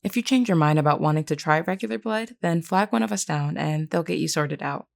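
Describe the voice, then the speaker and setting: A low-voiced vampire queen played by a woman, composed and solemn, with a gravelly fry in her tone. She speaks formally and earnestly, like a caring leader in an audio drama, reassuring and measured. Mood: calm, caring. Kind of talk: scripted character roleplay audio.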